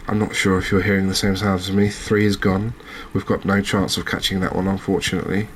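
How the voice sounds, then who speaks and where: Casting: male game streamer, deep and flat-toned, understated ASMR commentary, slight background noise. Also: fairly quick. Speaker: gaming channel host.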